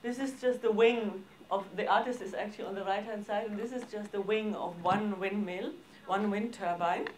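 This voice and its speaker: Halting woman presenter. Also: medium pitch, fairly even intonation, darkish tone, a little hiss or hum. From Austria. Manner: halting, informal, frequent hesitations and broken sentences. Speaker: arts organisation director presenting projects. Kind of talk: seminar presentation with slides.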